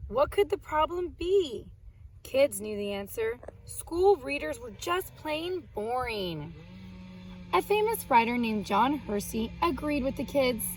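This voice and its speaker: High-pitched woman reading aloud to children. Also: poor audio. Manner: expressive, explains words simply for young children. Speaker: children's read-aloud host and art teacher. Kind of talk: reading a picture book aloud to children, with asides.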